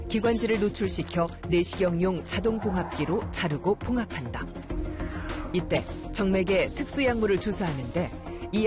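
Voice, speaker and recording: A narrator reading a scripted medical documentary in a measured, formal way. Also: mid-range voice. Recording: music behind the voice. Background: background music.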